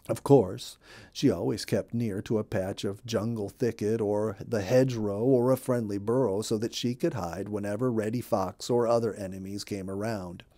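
A man in his fifties reads a children's storybook aloud. His voice is mellow and middling in pitch, and he narrates for young listeners. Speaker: storybook reader. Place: small room indoors.

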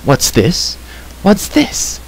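A man voices a curious, excitable bard from a storybook. The delivery is animated and exclaiming, raised in pitch. Clear hiss runs underneath. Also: normal speed, poor audio. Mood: excited.

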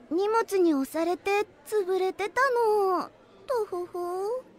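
A woman's voice: very high-pitched, very slow, exaggerated voice. A woman playing a cheerful, scatterbrained teenage schoolgirl in an anime audio drama. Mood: sheepish.

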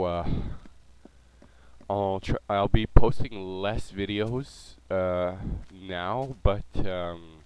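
A man's voice: low voice, a little mellow, clean tone, poor audio. A man with a cold and a nasal voice, hosting a gameplay video and chatting casually with many ums and uhs.

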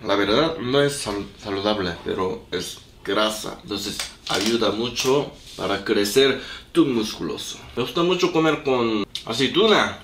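Young man, Korean native, unhurried vlog chatter in simple Spanish, slight cough; echoey room with noise. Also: on the low side, expressive. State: lingering cough. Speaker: vlogger. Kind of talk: casual vlog narration while cooking. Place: small apartment room.

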